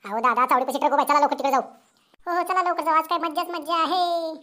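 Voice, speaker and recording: Casting: woman as excitable cartoon village youngster, very high pitch, rapid delivery, eager calling. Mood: excited. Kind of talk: comedy cartoon dialogue.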